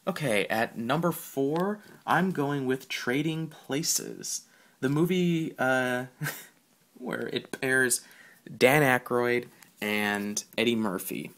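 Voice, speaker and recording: Halting, gravelly-edged male podcast host. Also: medium pitch, fairly bright tone. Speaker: podcast host and film reviewer. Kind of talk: casual solo film review.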